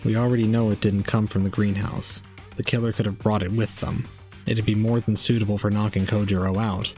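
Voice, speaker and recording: A man playing a stoic, aloof young murder suspect in an audio drama, terse and deadpan. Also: fairly low pitch, brisk, soft background music, close to an everyday voice. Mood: cold, calm.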